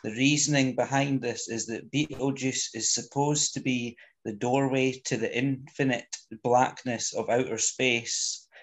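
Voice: medium pitch, steady tone, measured pace, few pauses, poor audio. Person on a call, curious, reading out a lookup. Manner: curious, reads out a fact he looked up. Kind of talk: casual chat on a video call.

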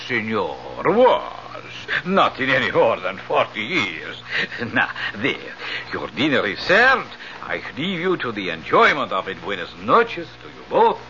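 Bright-voiced, flowery resort servant. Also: male voice, mid-range voice, wildly swooping pitch, a little slow, dull audio, full character voice. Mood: earnest, deferential. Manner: formal, flowery, deferential. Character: courteous, florid male resort servant, proud of his island. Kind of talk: radio drama acting. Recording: band-limited 1970s radio broadcast, faint noise.

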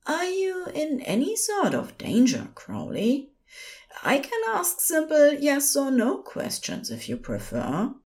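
A prim, patient male nurse from an audio story, acted by a woman. He speaks high and very sing-song, with courteous, formal phrasing and a calm, soothing manner, taking his time over each phrase. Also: takes it slowly, exaggerated voice. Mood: soothing, gentle.

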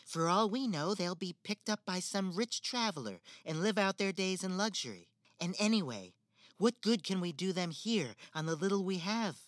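A woman's voice: on the low side, animated, moderate pace, no room sound, clean studio sound, close to an everyday voice. A woman playing a cold, manipulative stepmother in a spoken fairy-tale drama. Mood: scheming. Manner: manipulative, icy, theatrical.

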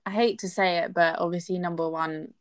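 A woman's voice: fairly low pitch, steady tone, a little fast. A woman talking casually and agreeably as a guest in a conversational interview.

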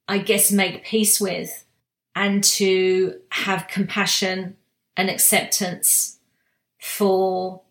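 A woman giving a slow, reflective spiritual talk, soft-spoken, with long pauses and restarts. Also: mid-range voice, roomy sound. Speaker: spiritual guide sharing monthly energy reading.

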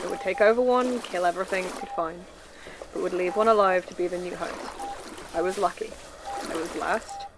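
A woman's voice: lowish voice, brisk, background noise, lightly acted. A woman playing a young, uneasy recruit with a grim past, hesitant in manner, in an audio drama. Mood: somber. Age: young adult. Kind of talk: audio fiction acting.

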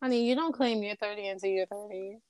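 A woman, playful and easygoing, sometimes stretching out a word. Her pitch sits in the middle. She is recorded close and dry. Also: fast, a little mellow, clean tone, dry recording. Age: 20s. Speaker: podcast co-host. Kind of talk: casual chat.

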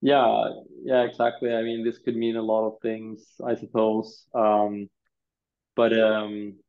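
A Swedish man in his thirties, a designer answering interview questions in a thoughtful, halting way, often repeating words. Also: fairly low pitch, level tone, clear, resonant tone, narrow, tinny audio. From Sweden.

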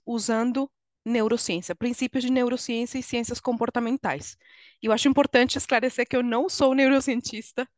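High-voiced Venezuelan woman, dry sound. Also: no room sound. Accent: Venezuelan Spanish accent in Portuguese. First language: Spanish. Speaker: guest, HR consultant and coach. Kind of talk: explanatory podcast interview talk.

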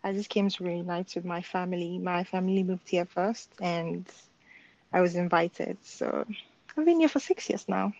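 A woman's voice: lowish voice, moderate pace, gravelly, a little mellow, no echo, highs cut off. A young woman from Nigeria, a podcast guest chatting casually and friendly, often laughing, with filler words. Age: young adult. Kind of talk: casual chat.

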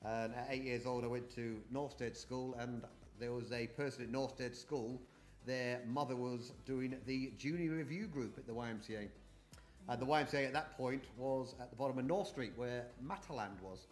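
A man's voice: medium pitch, moderate pace, faint music behind. A middle-aged man from New Zealand who moved to the UK as a child, chatting and rambling through memories as an interview guest. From born in New Zealand, moved to the UK aged eight. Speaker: podcast guest. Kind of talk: casual interview, reminiscing.

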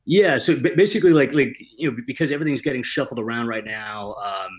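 A man's voice: medium pitch, quick pace. A man talking casually as a guest screenwriter, slangy and given to self-deprecating humour. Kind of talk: casual chat.

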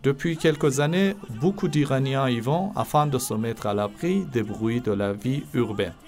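A man in his twenties from Iran, speaking French, hosting a podcast in calm, earnest narration with occasional hesitations. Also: medium pitch, moderate pace, faint music behind. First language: Persian. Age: 20s. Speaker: podcast host.

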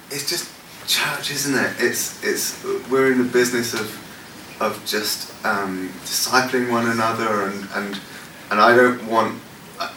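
A man with a low voice fields questions at a church gathering, stumbling through fillers and restarts as he thinks aloud. He sounds distant from the mic, in a roomy hall with noise around him. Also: bright, forceful tone. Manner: hesitant, many fillers and restarts. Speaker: worship leader leading a panel session. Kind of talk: unscripted talk answering questions. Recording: echoey room, distant mic, noisy.

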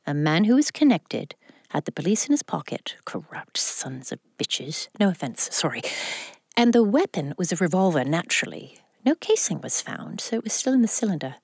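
Flamboyant rich old widow, swooping delivery. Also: female voice, on the low side, sing-song, normal speed, darkish tone, slightly rough, no room sound, studio quality, heavily acted. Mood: wry, matter-of-fact. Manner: theatrical, grand, flirtatious drawl. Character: flamboyant, lusty, vengeful wealthy widow in her seventies. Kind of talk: audio drama acting.

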